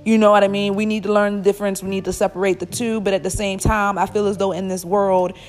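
A woman's voice: mid-range voice, flat delivery, fast talker, soft background music. A woman in her twenties hosting a solo podcast, ranting bluntly and emphatically with a lot of swearing. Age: 20s. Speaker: podcast host. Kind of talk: casual solo podcast rant.

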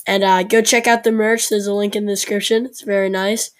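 A woman's voice: medium pitch, level tone. Congested young woman hosting a podcast. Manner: chatty, halting with fillers. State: sore throat, congested. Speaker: podcast host. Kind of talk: casual podcast chat.

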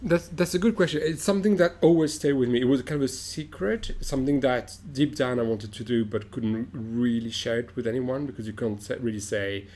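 A man's voice: mid-range voice, fairly quick, few pauses, darkish tone. A middle-aged man from Morocco, an opera director, answers interview questions thoughtfully, with frequent restarts and fillers.

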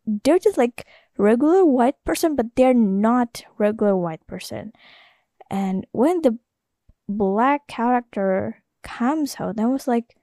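Slow, gravelly Indonesian woman rambling. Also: a little high, expressive, warm tone, no room sound, studio quality. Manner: rambling and hesitant, full of "like" and "you know". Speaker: podcast host. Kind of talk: casual solo podcast monologue.

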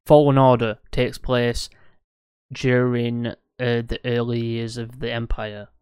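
A male podcast co-host chatting about games with a very clean, resonant voice and little change in pitch. He talks hesitantly, trailing off and restarting. The sound is dry and close. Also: level tone, slow pace, a little mellow, clean tone, dry recording. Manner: hesitant, loses his train of thought. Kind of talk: casual gaming chat.

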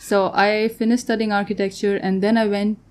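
A woman's voice: medium pitch, flat delivery, moderate pace. A young woman who grew up in Tanzania, a guest artist talking casually in an interview, often laughing and trailing off mid-sentence.

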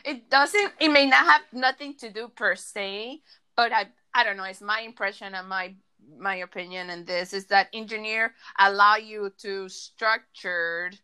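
Podcast host, female, Latin American accent, bright, smooth voice, chatty questioning. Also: mid-range voice, measured pace. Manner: chatty, strings questions together. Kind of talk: podcast interview.

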